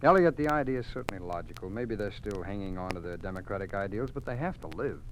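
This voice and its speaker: An actor playing a resigned, pragmatic attorney with an American accent, explaining emphatically in 1950s radio drama style. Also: male voice, fairly low pitch, expressive, quick pace, natural voice. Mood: grave. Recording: faintly noisy 1950s radio recording.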